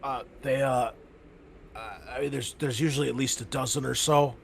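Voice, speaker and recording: A man running a tabletop game as game master, talking in halting, stammering bursts. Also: medium pitch, steady tone, vocal fry, slight background noise.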